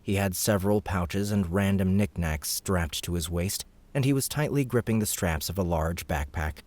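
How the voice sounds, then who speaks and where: A man narrating an audiobook in a steady, measured storytelling manner, close to the mic. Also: deep voice. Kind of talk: scripted narration.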